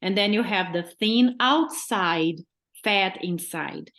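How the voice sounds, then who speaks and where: A woman's voice: medium pitch, takes it slowly, a bit bright. A woman doctor from Brazil with a Brazilian Portuguese accent, a guest on a podcast who explains step by step and keeps checking in with "right?". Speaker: guest physician.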